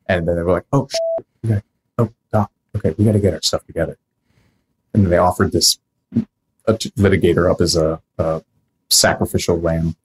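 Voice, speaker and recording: A man's voice: low voice, steady tone, a little fast, long pauses, slight background noise, rough recording. A man hosting a podcast, reading scripted narration broken up by casual asides. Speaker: podcast host.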